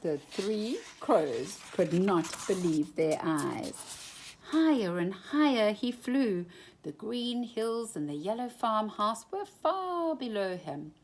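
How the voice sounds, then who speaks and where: A woman reading a children's storybook aloud at bedtime in an expressive, animated way. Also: medium pitch, slow pace, slight background noise.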